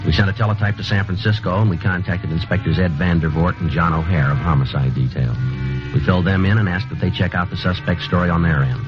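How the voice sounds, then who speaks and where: A man's voice: deep voice, fast talker, natural voice. A man playing a terse, methodical police sergeant who narrates and questions in a 1950s radio drama, clipped and matter-of-fact, over music on an old lo-fi broadcast. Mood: narrating.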